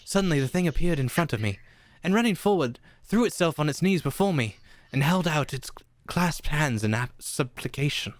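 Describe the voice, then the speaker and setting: A man's voice: on the high side, lively intonation, moderate pace, hardly any pauses, dry recording. A man narrating a radio play in a theatrical storybook style.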